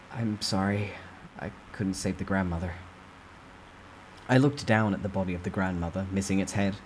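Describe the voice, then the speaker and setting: A man's voice: low-pitched, a little mellow, slight background noise, low-quality recording. A man reading a first-person story aloud as a dramatic storytime narration.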